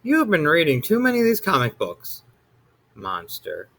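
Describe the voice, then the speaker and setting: A fed-up monster mother from a storybook, played by a man, high and sing-song. She scolds in a swooping voice that climbs even higher as her patience runs out. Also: moderate pace, gravelly, heavily acted. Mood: dismissive.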